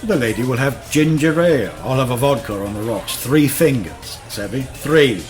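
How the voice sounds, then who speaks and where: An actor playing a cocky, flirtatious British celebrity photographer of the 1960s in a radio drama, swaggering and slangy. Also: male voice, mid-range voice, expressive, moderate pace, music in the background, natural voice. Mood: seductive. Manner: swaggering, slangy, smooth-talking.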